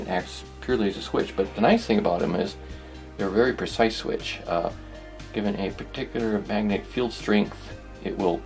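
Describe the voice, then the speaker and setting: A man's voice: low-pitched, creaky, a little mellow. A man narrating a hobby workshop project informally, thinking aloud in a hesitant, rambling, musing way. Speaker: host of a hobby electronics restoration video.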